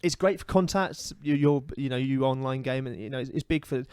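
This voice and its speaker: A British man with a mid-pitched voice talks casually as a podcast co-host. He is emphatic and opinionated, doubling back on his words as he complains. The sound is close and dry. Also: brisk, darkish tone, clear, resonant tone, no echo. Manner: emphatic, repeats himself, complaining. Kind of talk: casual opinionated gaming chat.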